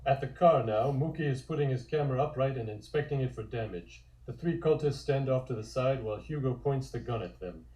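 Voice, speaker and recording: A man's voice: medium pitch, fairly quick, few pauses, dark tone. A man reading scripted comic narration and stage directions in an echoey room.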